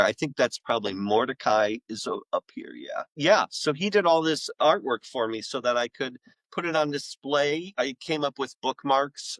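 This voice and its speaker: A man with a mid-range voice chats as a guest author on a podcast. He speaks informally and haltingly, wandering from one thought to the next. The sound is dry, with very little room in it. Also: medium pitch, a bit bright, dry recording, rough recording. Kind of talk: casual conversational interview.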